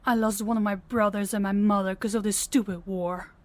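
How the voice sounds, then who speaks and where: Woman acting guarded young princess, mid-pitched. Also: steady tone, brisk, close to an everyday voice. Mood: bitter, grieving. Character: guarded young rival princess, reluctant but caring bride-to-be. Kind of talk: intimate fantasy audio roleplay.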